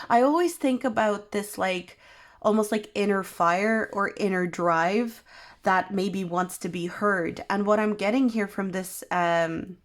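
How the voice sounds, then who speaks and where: Woman tarot reader who has lost her voice. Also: medium pitch. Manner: soft, meandering, many ums. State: losing her voice, unwell. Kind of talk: pick-a-card tarot reading.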